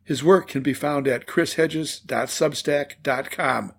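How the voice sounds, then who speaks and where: A man hosting a talk show, reading a measured opening and introducing his guest. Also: a little high, gravelly, slightly rough. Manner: measured, read-aloud delivery. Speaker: radio show host. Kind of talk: scripted commentary and interview intro.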